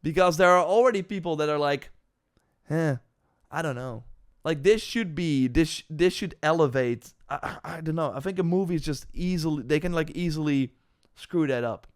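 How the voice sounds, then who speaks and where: A man with a clean, crisp voice that sits a little high talks through his gaming opinions unscripted. His delivery is casual and meandering, with stop-and-start phrasing and bursts of emphasis, like a streamer reacting for viewers. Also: moderate pace. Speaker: gaming news streamer reacting to announcements and chat.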